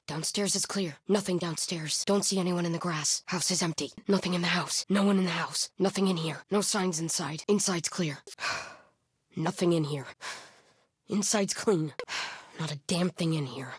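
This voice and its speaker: Menacing female cult soldier for a video game: husky, watchful, terse commands, dry sound. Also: on the low side, dry recording. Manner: terse, clipped commands and callouts. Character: hardened cult soldier woman, vigilant, menacing hunter of trespassers. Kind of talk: video game combat voice lines.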